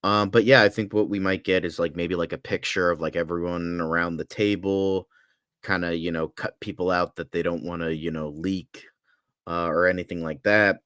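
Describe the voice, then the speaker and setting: Low, smooth, hesitant male co-host. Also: steady tone, normal speed, fairly bright tone, no echo, studio quality. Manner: hesitant, tentative, filler-heavy delivery. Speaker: podcast co-host. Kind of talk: casual film discussion.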